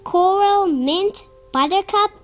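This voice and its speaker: A child reading a children's picture book aloud in an expressive, somewhat halting way, with stumbles. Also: extremely high pitch, faint music behind. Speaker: storybook reader.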